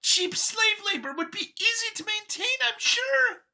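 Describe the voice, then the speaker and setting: A woman's voice: very high-pitched, animated, a little slow, gravelly, sharp tone, pitched above the performer's own voice, full character voice. A woman voicing a nervous, earnest female alien mouse scientist in an audiobook, with a flustered, eager way of talking. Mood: admiring, eager. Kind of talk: acted audiobook character.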